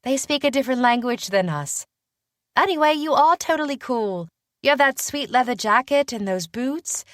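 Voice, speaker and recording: Woman acting a high, peppy girlish young witch. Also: animated, moderate pace, fairly bright tone, dry recording, studio quality. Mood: admiring. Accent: British. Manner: bubbly, self-deprecating, sassy.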